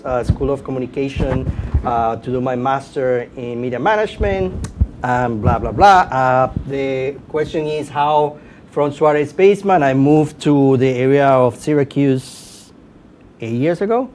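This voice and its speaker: A man's voice: a little slow, a little mellow. A middle-aged man with a Venezuelan accent, a native Spanish speaker, answering as a guest in a conversational interview with informal asides. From Caracas, Venezuela. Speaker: guest, professor and podcast host. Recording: clear background noise with low rumble.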